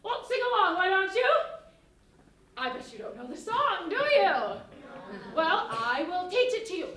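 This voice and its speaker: A woman's voice: very high voice, big pitch swings, normal speed, sharp tone, heavily acted. A woman playing a jolly, bustling Elizabethan innkeeper, hearty and exclamatory as she plays to a live audience. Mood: jolly, coaxing. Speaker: narrator and audience song leader. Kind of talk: theatrical storytelling for a live audience. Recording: echoey room, far mic, faint noise.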